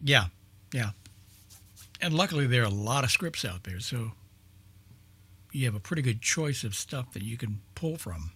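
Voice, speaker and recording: A man's voice: mid-range voice, expressive, moderate pace, pauses often, pushed and bright, husky. A man chatting casually about a hobby, as a participant in an informal radio talk. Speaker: old-time radio show participant discussing lost shows. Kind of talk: casual chat.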